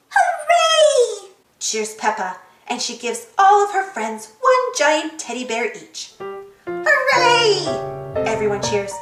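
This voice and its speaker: A woman reading a children's storybook aloud as an expressive narrator and host. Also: high-pitched, roomy sound, distant mic. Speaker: storybook reader, channel host.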